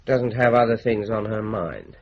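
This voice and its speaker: A man's voice: lowish voice, normal speed, a little hiss or hum, lightly acted. An actor playing a polite but persistent male police inspector questioning people in a radio drama. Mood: probing. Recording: dull lo-fi broadcast recording.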